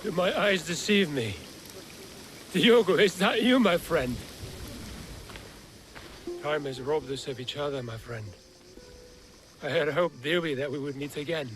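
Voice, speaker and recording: A man's voice: a little high, lively intonation, a little slow, pauses often, a bit bright, a little hiss or hum. An actor playing a courteous, devout European man in a video game, speaking in a formal, reflective way. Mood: warmly surprised. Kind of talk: video game cutscene acting.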